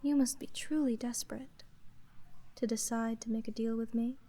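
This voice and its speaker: Aloof sea witch shopkeeper, played by a woman: fairly high, mellow voice, formal monotone delivery for fantasy ASMR roleplay. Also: normal speed, lightly acted. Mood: composed, businesslike. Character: aloof, polite female sea witch with tentacles, merchant.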